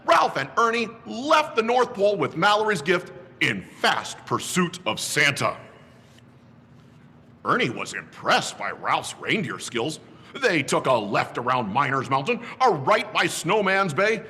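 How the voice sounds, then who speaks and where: A man dressed as Santa, reading a children's story aloud slowly and expressively. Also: slightly rough, big-room sound. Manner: slow, highly expressive.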